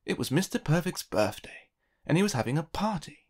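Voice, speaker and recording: Expressive, lively children's storybook narrator. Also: medium pitch, a little fast.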